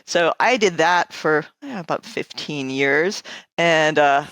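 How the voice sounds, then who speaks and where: A woman's voice: sits low, takes it slowly, fairly bright tone, no room sound. A woman in her fifties from Canada, a guest architect who tells stories in a chatty, self-deprecating way. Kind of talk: casual interview chat.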